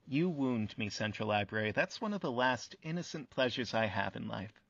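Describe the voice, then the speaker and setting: Wry, earnest radio host: gravelly, storytelling delivery, slightly dull roomy sound. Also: male voice, medium pitch, expressive, normal speed, fairly bright tone. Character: earnest, wry male host who interviews buildings. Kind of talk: radio play acting as interview host. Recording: echoey, muffled sound.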